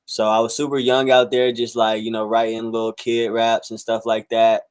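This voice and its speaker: A man's voice: medium pitch, completely flat delivery, moderate pace, clear, resonant tone, no room sound. A man in his twenties from the United States, a musician giving a casual, slangy, rambling interview. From United States, South Carolina and Northern California. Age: 20s. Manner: slangy, rambling, frequent "you know" and "like". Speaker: musician and rapper being interviewed.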